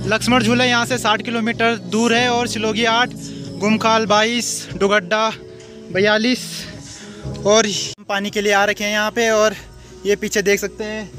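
A young man narrates his day into a phone held at arm's length, outdoors on hill roads and inside a car. His voice sits high and he talks to his viewers in a chatty, easygoing way. Music plays clearly underneath. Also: fairly bright tone. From Uttarakhand hills, India. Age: young adult. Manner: chatty, addresses viewers directly. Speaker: vlogger. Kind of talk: casual travel vlog narration. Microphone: handheld phone camera at arm's length.

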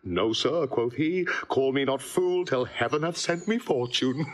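A man's voice: medium pitch, a little slow, lightly acted. An actor playing a witty, melancholy male courtier who relishes his words in a mocking, philosophical tone. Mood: wry. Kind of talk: classical verse drama.

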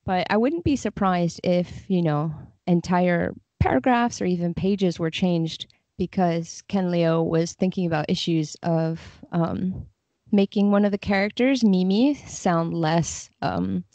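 A woman's voice: lowish voice, measured pace, mellow, no echo, lo-fi sound. A woman talking casually and thoughtfully as a guest translator in a podcast interview, explaining her views.

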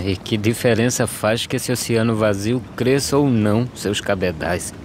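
A weary, despairing Brazilian migrant man in an animated verse drama. His voice is fairly low and he speaks in solemn, measured verse. Clear outdoor noise runs behind him. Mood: weary, searching. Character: weary, despairing male drought migrant, gaunt, questioning.

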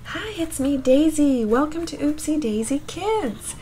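A woman's voice: sits high, animated, a little slow, warm tone, a little hiss or hum, voice pushed up. A woman voicing a friendly, cheerful young cartoon elephant in a childlike manner, greeting children.

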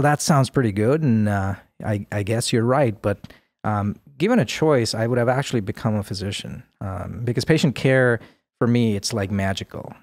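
A man in his forties, born in India, answers interview questions in a measured, thoughtful way, often pausing on fillers. His voice sits low and sounds dry and close on the studio boom mic. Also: a little mellow, dry recording. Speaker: guest. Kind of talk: podcast interview. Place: studio room with plants. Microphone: boom mic with headphones.

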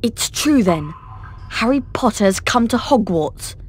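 A woman's voice: a little high, expressive, a little slow, background noise. A woman voicing a snobbish, sneering English schoolboy wizard bully in a video game, with a haughty, mocking drawl.

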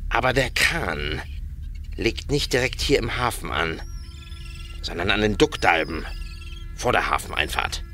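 An actor playing a wry, cagey harbour master in a radio play, explaining things pedantically and with hesitations. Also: male voice, on the low side, lively intonation, unhurried, pauses often, sharp tone, faint music behind. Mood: explanatory. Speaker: voice actor in an audio drama. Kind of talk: German radio play acting.

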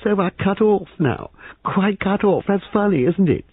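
A man voicing an excitable, gushing, camp young man in an audiobook, shrill and breathy. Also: measured pace, dry recording, heavily acted. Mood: gleeful. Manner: gushing, theatrical. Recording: muffled phone-like audio.

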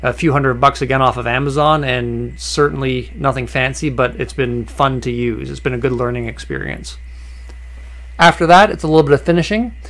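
Relaxed man narrating a woodworking build. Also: medium pitch, normal speed. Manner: relaxed and conversational, with many "uh" fillers and restarts. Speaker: woodworking channel host. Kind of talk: voice-over narration of a workshop project.

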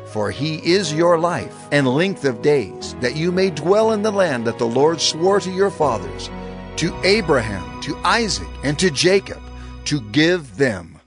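Moses, an animated elderly prophet. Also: male voice, a little high, measured pace, over music. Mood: earnest, urgent. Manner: earnest, emphatic, oratorical. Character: Moses, elderly prophet leader exhorting his people. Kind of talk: dramatized scripture audio drama.

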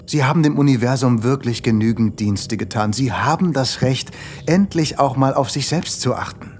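Manipulative tempter, male actor for comic radio drama: insinuating, persuasive, medium pitch. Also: normal speed, darkish tone, slight background noise, lightly acted. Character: smooth-talking, manipulative male tempter and buyer. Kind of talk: comic audio drama acting.

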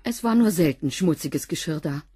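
A woman's voice: deep voice, animated, moderate pace, dark tone, husky, no echo, studio quality, heavily acted. An actress playing a curt, unfazed cleaning woman who answers bluntly and laconically in a radio crime drama. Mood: matter-of-fact.

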